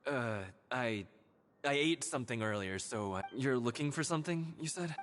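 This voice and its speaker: Stammering, timid, low-pitched woman. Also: expressive, noisy background. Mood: flustered. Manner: stammering, halting. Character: flustered, timid, nervous society member. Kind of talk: video game character dialogue.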